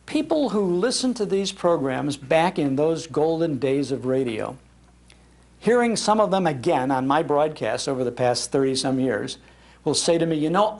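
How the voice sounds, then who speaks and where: A man's voice: on the high side, vocal fry. An older man with an American accent giving a nostalgic talk in an emphatic storytelling manner. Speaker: radio historian and broadcaster giving a talk. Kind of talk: nostalgic storytelling to a live audience. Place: public library talk.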